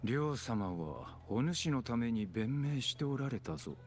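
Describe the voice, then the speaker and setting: An actor playing a stern, measured official who speaks in a formal, archaic, grave manner in an anime game. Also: male voice, medium pitch, very animated, faint music behind, natural voice. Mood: measured, judging. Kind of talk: anime game voice acting in Japanese.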